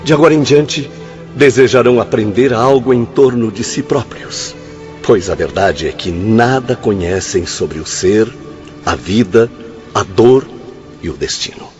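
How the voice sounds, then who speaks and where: A man's voice: on the low side, a little slow, a little mellow, close to an everyday voice. A man acting a solemn spirit teacher in a radio drama, didactic and oratorical. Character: solemn male spirit teacher addressing suicides. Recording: dull lo-fi audio, faint music.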